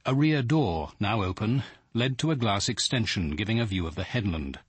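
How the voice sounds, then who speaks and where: An actor playing an observant, cultured detective narrating a radio drama in a measured, precise British voice with some huskiness. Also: male voice, fairly low pitch, lively intonation, slightly rough, dry recording. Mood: reflective, composed. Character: observant, cultured male detective narrating his own story. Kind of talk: scripted first-person drama narration.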